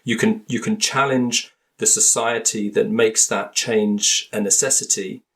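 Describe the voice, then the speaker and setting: A low-pitched man with a gravelly, creaky voice talks things through in an interview. He pauses, restarts and searches for words as he explains. He sounds like a guest reflecting as he goes. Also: fairly even intonation, a bit bright. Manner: hesitant, many restarts and fillers. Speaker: linguist guest. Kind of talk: thoughtful interview talk.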